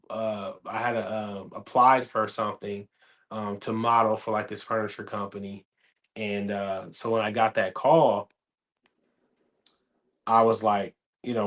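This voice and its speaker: A man in his thirties hosting a casual podcast chat, telling stories with lively acted-out quotes. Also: lowish voice. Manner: animated storytelling, acts out quoted dialogue. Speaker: podcast host.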